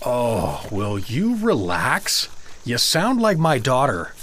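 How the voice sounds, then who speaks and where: A man's voice: medium pitch, wildly swooping pitch, a bit bright, a little hiss or hum, exaggerated voice. A man playing a stubborn, bumbling middle-aged dad with corny jokes in a comedy audio drama, blustery and defensive. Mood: defensive, irritated. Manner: blustery, defensive, halting when awkward.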